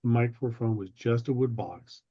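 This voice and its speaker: A man giving a prepared history talk with slides over a video call, phrasing deliberately like a storyteller. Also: medium pitch, breathy edge. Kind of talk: slide presentation, prepared lecture. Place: online video meeting. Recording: dull, lo-fi video call audio.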